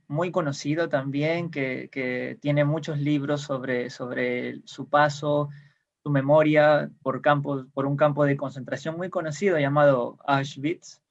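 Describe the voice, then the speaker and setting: Gravelly-voiced man on a lo-fi call. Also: on the high side. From Peru. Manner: hesitant, many fillers and restarts. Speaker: guest. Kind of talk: casual conversational explanation.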